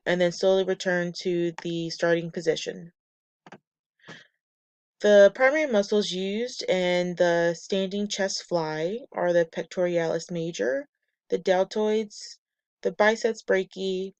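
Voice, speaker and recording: A woman presenting a class lesson from slides, a bit nasal and raspy from a cold, reading steps and lists in a measured way. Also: on the low side, darkish tone, dry recording, muffled recording. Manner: measured, reads steps and muscle lists methodically. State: getting over a cold, slightly nasal and raspy. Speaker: student presenter.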